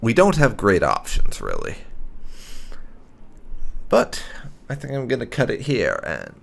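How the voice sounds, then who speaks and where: A man hosting a roleplay let's play, commenting in a halting, thoughtful way and trailing off as he thinks. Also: medium pitch, wildly swooping pitch, vocal fry. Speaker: gaming channel host.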